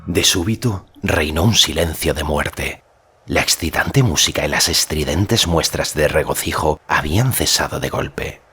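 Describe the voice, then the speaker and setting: A man narrating a fantasy story as a scripted audiobook, measured and solemn in delivery. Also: extremely low pitch, pushed and bright, husky.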